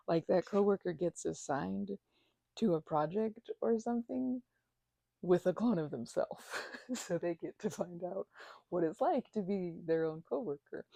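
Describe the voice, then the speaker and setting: Woman acting as weary but playful roommate girl, asmr roleplay, soft raspy voice, super dry close mic, kinda rambly and hesitant. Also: medium pitch, no echo. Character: chatty, supportive female housemate, weary from a migraine. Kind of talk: intimate ASMR roleplay, casual conversational delivery. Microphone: close microphone, dry.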